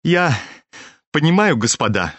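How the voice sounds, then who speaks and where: Man acting a feverish, defiant young man, pitched high. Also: very animated, fairly bright tone, no room sound. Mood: bitter. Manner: halting, agitated exclamations.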